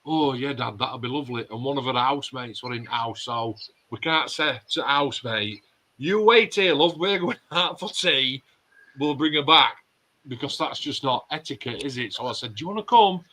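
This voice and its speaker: A man's voice: mid-range voice, normal speed, pushed and bright. A middle-aged man with a Yorkshire accent hosting a casual online chat, telling stories bluntly with plenty of swearing. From Yorkshire, England. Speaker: host of a modelling club chat.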